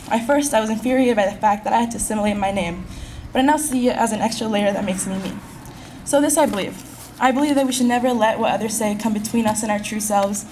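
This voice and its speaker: Fast-speaking teenage girl giving a speech. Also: mid-range voice, a little mellow, a little hiss or hum, poor audio. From California. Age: teens. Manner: earnest, reading from a script at a quick clip. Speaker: graduating high school senior giving a speech. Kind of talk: prepared speech. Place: school event.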